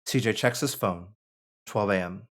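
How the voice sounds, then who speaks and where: A man's voice: on the low side, moderate pace, a bit bright. A man narrating stage directions at a table read in an informal, conversational way. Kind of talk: reading screenplay stage directions aloud, with casual asides.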